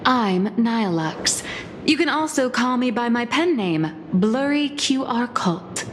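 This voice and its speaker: A woman's voice: a little high, lively intonation, measured pace, noisy background. A woman playing a smug, theatrical young female magazine boss in a video game, haughty and playful. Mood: sly, confident. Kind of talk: video game character acting. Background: game music.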